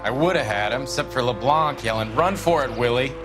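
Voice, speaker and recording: An actor playing a chatty, wry American patrol cop in a 1940s crime video game, colloquial and matter-of-fact. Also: male voice, mid-range voice, a little fast, over music, lightly acted. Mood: recounting.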